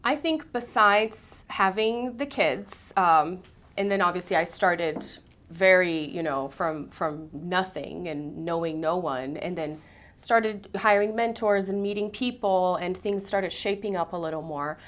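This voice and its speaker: A woman's voice: fairly low pitch, a little slow, a bit bright. A woman from Brazil, a singer and speaking coach, telling her story earnestly as a guest in an interview. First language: Portuguese. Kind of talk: interview conversation.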